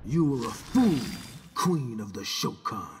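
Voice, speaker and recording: A man playing a haughty, scornful warrior demigod in a fighting game, pompous and deliberate. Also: medium pitch, sing-song. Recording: loud noisy background.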